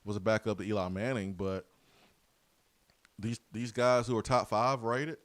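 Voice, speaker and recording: A man's voice: fairly low pitch, flat delivery, normal speed, fairly bright tone, dry recording. A man hosting a sports radio show, rambling and casual, his voice a little weak and strained. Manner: loose, rambling, many fillers. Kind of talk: casual radio talk and ad reads.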